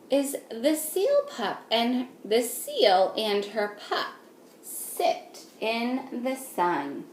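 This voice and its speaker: A woman teacher reading a picture book aloud to young children, patiently, in a sing-song way, pausing to ask them simple questions. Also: high voice. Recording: echoey room with faint noise.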